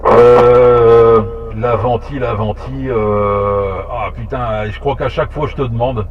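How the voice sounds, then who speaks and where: A man chatting casually over amateur radio in a halting way, repeating words as he thinks. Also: medium pitch, steady tone, loud music behind the voice. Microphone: two-way radio transmission. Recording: muffled two-way radio, faint noise.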